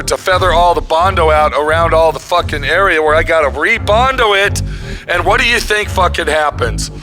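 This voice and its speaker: A raspy, fairly high-voiced man in his fifties rants angrily and swears constantly while filming himself up close in a big auto body garage. Music plays under his voice. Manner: heavy swearing, pissed-off ranting. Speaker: custom auto body shop owner. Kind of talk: angry workshop vlog. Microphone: camera held close at arm's length.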